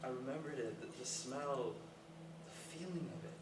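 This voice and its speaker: Echoey noisy room, actor doing awkward earnest guy in a play, halting and unsure. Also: mid-range voice, distant mic, natural voice. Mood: wistful, earnest. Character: hesitant, self-conscious, earnest former gifted kid. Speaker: actor in a staged play reading. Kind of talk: naturalistic stage dialogue before a live audience.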